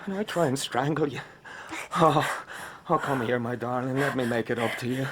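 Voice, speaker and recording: An actor playing a bold, defiant young gang member in an audio drama, who can turn tender. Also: male voice, medium pitch, moderate pace, fairly bright tone, husky. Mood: tender, pleading.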